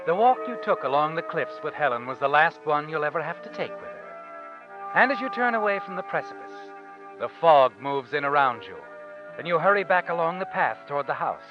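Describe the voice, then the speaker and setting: An actor playing an ominous, taunting unseen narrator in 1940s suspense radio style. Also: male voice, fairly high pitch, sing-song, a little fast. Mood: sly, ominous. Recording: muffled old radio with music underneath.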